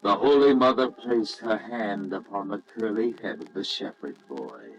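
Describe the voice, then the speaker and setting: Slow, low-voiced male story narrator. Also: lo-fi sound, soft background music. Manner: solemn, reverent, measured. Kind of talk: scripted storybook narration, old radio drama.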